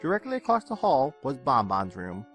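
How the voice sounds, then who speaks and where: A man reading a scripted fan fiction story aloud with expressive narration. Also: mid-range voice, normal speed. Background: soft game music.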